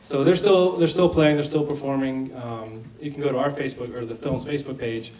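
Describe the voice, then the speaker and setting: A film director, a man, answering audience questions after a screening. He sounds a bit hoarse and talks conversationally, often restarting his sentences. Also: medium pitch, fairly even intonation, brisk, darkish tone. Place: large screening hall. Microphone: lost microphone, speaking unamplified. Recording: echoey hall, voice from far off.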